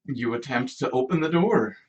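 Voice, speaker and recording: A game master narrating a tabletop role-playing game in a halting, conversational way. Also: medium pitch, expressive, dull audio. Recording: distant microphone.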